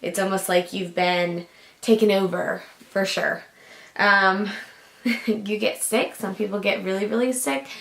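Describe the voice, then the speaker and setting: Young woman vlogger, casual and rambling, groggy raspy voice from a lingering cough, mid pitch, echoey room. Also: steady tone, measured pace, fairly bright tone. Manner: chatty, rambling, lots of likes and ums. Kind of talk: casual chat to camera.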